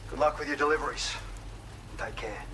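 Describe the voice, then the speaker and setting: A polite, earnest researcher played by a man in a video game. He talks in measured phrases at a middling pitch, sounding distant amid clear noise. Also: close to an everyday voice. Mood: appreciative. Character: courteous, earnest male researcher. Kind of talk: video game dialogue acting.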